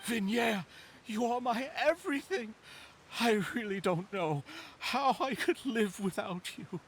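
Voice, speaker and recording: A man voicing an obsessive, lovesick murderer in a video game, desperate and anguished, speaking haltingly with heavy emotion. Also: high voice, expressive, a little hiss or hum. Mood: yearning. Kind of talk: dramatic video game voice acting.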